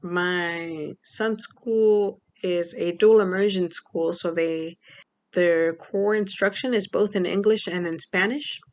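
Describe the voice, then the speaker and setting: A woman's voice: on the low side, steady tone, slow. A young woman from Mexico talking casually in a personal vlog, rambling with many fillers. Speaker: vlogger.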